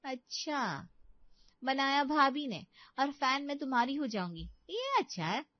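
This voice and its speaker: Woman acting playful, blunt young college girlfriend, high-pitched. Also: sing-song, a little slow, dry recording, lo-fi sound. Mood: teasing. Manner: teasing, outspoken.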